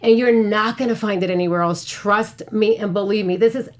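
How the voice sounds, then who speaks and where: A woman chatting casually and excitably as a panelist on a recap show, with a playful, slangy manner. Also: medium pitch, brisk.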